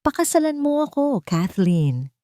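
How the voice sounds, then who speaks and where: A woman voicing a wealthy, determined young man who stays steady and unshaken, in a storybook drama. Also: very high-pitched, unhurried, dry recording, clean studio sound, voice pushed up, exaggerated voice.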